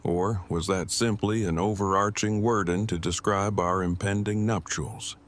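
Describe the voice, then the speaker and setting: Man playing a low-voiced, stiffly formal groom. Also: steady tone, a little slow. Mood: earnest, curious. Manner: stilted, wordy, formal phrasing. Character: Eugene, verbose, formal, pedantic, devoted groom. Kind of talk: audio drama acting.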